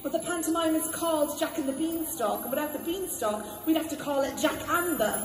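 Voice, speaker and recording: A woman's voice: high-pitched, fairly quick, a little hiss or hum, natural voice. A woman playing a grand, kindly magic spirit in a live stage pantomime, declaiming in a reassuring, theatrical way. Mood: brisk, wry. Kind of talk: live musical pantomime, rhyming delivery. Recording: echoey theatre hall, loud backing music.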